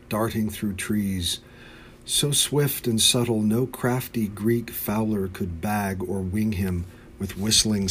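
Low-voiced, slow-speaking American man. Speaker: poet. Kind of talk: poetry reading. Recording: faint background noise.

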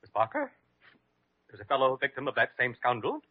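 An actor playing a timid, stuffy doctor in 1940s radio comedy, fussy and formal, stammering. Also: male voice, medium pitch, wildly swooping pitch, brisk. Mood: wounded, huffy. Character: timid, prissy, stuffy doctor, easily panicked. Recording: muffled old radio recording.

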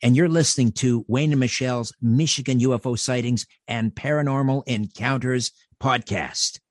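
A man delivering a recorded promo drop, voice at a middling pitch. The sound is dry and close. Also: moderate pace, dry recording. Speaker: guest radio host in a show promo.

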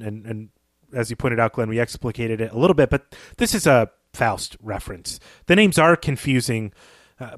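A man of middling pitch speaks as a podcast co-host. He analyses literature in a measured, thoughtful way, building his points in halting phrases. The recording is dry and close. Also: no room sound.

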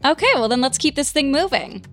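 A woman's voice: high voice, animated, fairly quick, fairly bright tone. A woman hosting a dating podcast, upbeat and playful, with faint music behind. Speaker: host.

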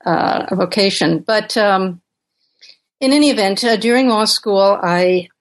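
An older woman speaks at a middling pitch, reflecting aloud as she answers questions about her work. She pauses often with ums and uhs, working her way toward each point. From Uganda. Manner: thoughtful, hesitant with many fillers. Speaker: author and professor. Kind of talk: podcast interview.

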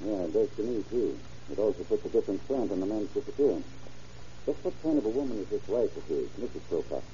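A man acting a steady county sheriff in a vintage radio crime drama. His voice is very dark and a little low, with businesslike, matter-of-fact questioning. The old recording is dull and noisy. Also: quick pace, natural voice. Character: steady, businesslike male county sheriff leading a murder case.

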